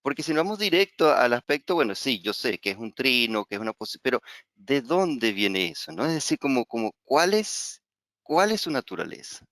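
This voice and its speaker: Venezuelan guy giving a webinar talk, smooth clear voice, dry close sound, lots of ums and "¿sí?". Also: medium pitch, very animated, moderate pace, fairly bright tone, no room sound. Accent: Venezuelan Spanish. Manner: hesitant, many fillers and repeated words, frequent tag "¿sí?". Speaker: astrology teacher. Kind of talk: webinar lecture.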